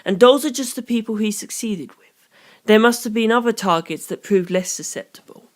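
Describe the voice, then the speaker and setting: A calm young detective as acted by an audiobook narrator lays out grim theories in formal language. The delivery is deliberate and analytical, at a medium pitch. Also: close to an everyday voice. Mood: grave, analytical. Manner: measured, formal, deliberate phrasing.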